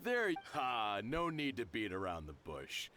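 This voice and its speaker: A man's voice: fairly low pitch, big pitch swings, a little slow, sharp tone, a little hiss or hum, heavily acted. A man playing a genial, humble middle-aged father in an anime-style video game, with a self-deprecating way of talking. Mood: genial, sheepish.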